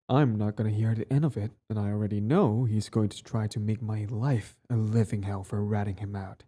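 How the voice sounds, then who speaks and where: A man's voice: lowish voice, warm tone, lightly acted. A man playing a cocky but easily flustered villain devoted to a date, in a casual, wry romantic audio roleplay. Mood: bitter, scheming. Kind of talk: one-sided romantic audio roleplay, conversational.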